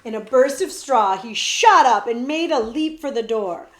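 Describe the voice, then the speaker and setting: A woman librarian narrates a children's novel in a lively, expressive read-aloud. Her voice sits fairly high. The sound rings in an echoey room. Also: measured pace.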